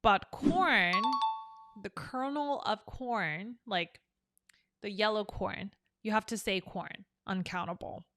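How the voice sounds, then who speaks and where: A woman's voice: mid-range voice, slow, a bit bright, faint music behind. A young woman from South Korea, teaching an English lesson in a chatty, upbeat way. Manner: chatty and upbeat, explaining with examples. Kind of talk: casual online English lesson.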